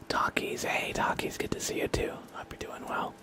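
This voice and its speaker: A soft-spoken man chatting casually as he hosts an ASMR gaming stream, often slipping into whispers. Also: moderate pace. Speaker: livestream host. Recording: faint computer fan noise. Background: computer fans, game ambience.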